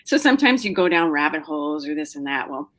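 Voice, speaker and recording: A middle-aged woman speaks with a low, easy voice as she answers an interviewer's questions about her writing. She talks casually and chattily, with frequent ums and restarts. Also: very animated, fast, a bit bright. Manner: chatty, many fillers and restarts. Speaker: novelist guest.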